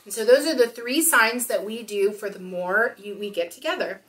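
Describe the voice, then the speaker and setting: A woman's voice: medium pitch, normal speed, a bit bright, far from the mic. A woman in her thirties hosting storytime, talking and reading aloud expressively to young children. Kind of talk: children's storytime talk and picture-book read-aloud. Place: small room indoors.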